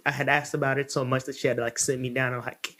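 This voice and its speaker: Brief: thirties man from Uganda, lively storytelling guest, average pitch. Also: fast. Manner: animated, laughing, acts out quoted voices. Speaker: guest radiologist. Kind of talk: casual storytelling interview.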